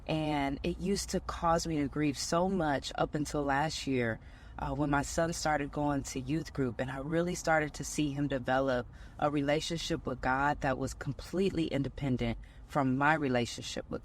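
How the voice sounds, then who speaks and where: A woman sharing a personal testimony about being a single mother, speaking in an earnest, gentle, heartfelt way. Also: sits low, a little hiss or hum.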